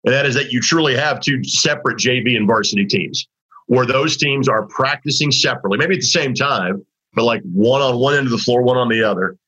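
A man talks high school basketball as a show host, rambling conversationally through restarts and fillers. His voice is forceful yet husky and a little raspy from overuse. The audio is dull and lo-fi. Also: a little fast. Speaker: sports broadcaster hosting a high school basketball talk segment. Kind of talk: casual sports talk.

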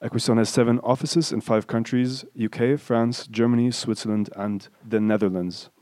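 A male host reading a prepared introduction and asking interview questions in a smooth, scripted way. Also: medium pitch, steady tone, moderate pace, dark tone, no echo.

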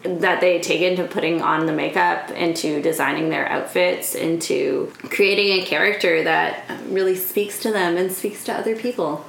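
A woman's voice: on the low side, steady tone, normal speed, creaky, hardly any pauses, slight background noise. A woman co-hosting a casual podcast chat, explaining things with lots of "like" and "I think". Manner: hedging, filler-heavy explainer. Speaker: podcast co-host. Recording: roomy echo.

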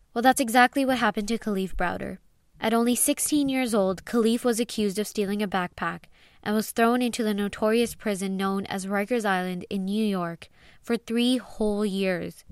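Smooth-voiced woman presenting a review. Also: mid-range voice, no echo, clean studio sound. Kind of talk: scripted review narration.

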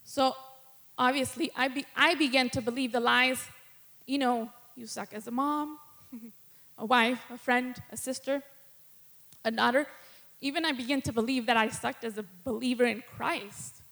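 A woman's voice: fairly high pitch, steady tone, moderate pace, a bit bright. Nervous, candid woman preaching testimony. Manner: nervous, candid, laughs between thoughts. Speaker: guest preacher. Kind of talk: personal testimony sermon.

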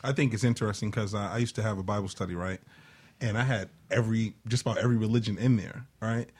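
A man's voice: fairly low pitch, steady tone, fast talker, a little mellow, breathy edge. A man talking casually as a panelist in a loose, streetwise, informal way, often checking in with listeners. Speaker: talk show panelist. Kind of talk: casual chat.

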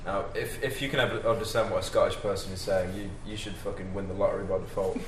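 A British man, a podcast guest, talking fast and swearing casually as he goes off on tangents. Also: low-pitched, fairly even intonation, reverberant, far from the mic, over a noisy crowd, rough recording. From United Kingdom. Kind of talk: casual chat.

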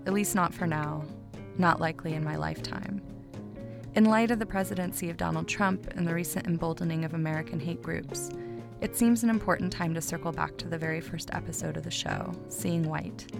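Female podcast host, scripted narration, lowish register with gravelly fry, music bed underneath.

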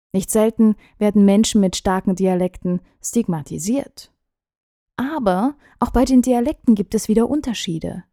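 Woman coach, mellow voice, measured and trained delivery, dry close sound. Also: mid-range voice, dry recording. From Thuringia, Germany. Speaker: speech and charisma coach. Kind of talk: scripted talk to camera.

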